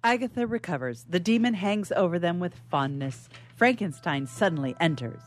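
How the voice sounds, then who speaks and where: A woman's voice: fairly low pitch, animated, normal speed, soft background music. A woman reading stage directions as the narrator of a radio theatre melodrama, in a scripted dramatic style.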